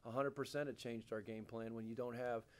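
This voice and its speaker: Even-pitched middle-aged male coach. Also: fairly quick, clean tone. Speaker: college basketball head coach. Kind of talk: post-game press conference answers. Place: indoor press conference room, seated at table.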